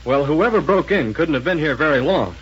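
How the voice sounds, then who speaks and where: An eager space hero in a 1930s radio serial. The voice is husky and very animated, respectful in manner, on a muffled old recording. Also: male voice, on the high side, fairly quick. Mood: reasoning, alert.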